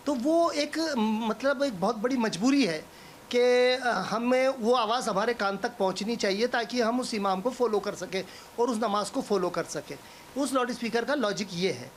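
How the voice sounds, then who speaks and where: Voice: medium pitch, moderate pace. Explanatory cleric with faint background noise. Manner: emphatic repetition, illustrative. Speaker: cleric interviewee. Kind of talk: explanatory interview answer.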